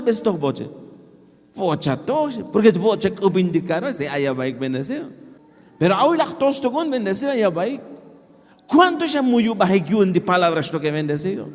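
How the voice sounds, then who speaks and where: A man's voice: high voice, big-room sound. A man preaching animatedly, mixing languages, with frequent affirmations.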